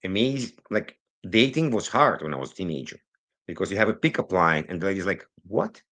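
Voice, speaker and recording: A man who grew up in Israel, speaking English as a non-native speaker, telling his story as a guest on a remotely recorded interview with animated, digressive delivery. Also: low voice. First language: not English. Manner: animated, rushes through some phrases, digressive. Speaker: guest, cybersecurity consultant. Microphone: remote recording, virtual call.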